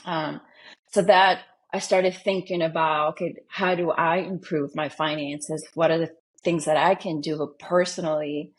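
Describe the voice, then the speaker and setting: A woman from the Dominican Republic, a financial planner, telling her own story in a measured, reflective way as an interview guest. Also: deep voice, fairly even intonation, husky.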